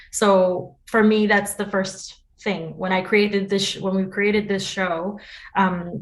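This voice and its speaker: A woman from New York City with an American accent, giving thoughtful, explanatory answers in a conversational interview. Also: mid-range voice. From New York City, United States. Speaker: interview guest, podcast producer.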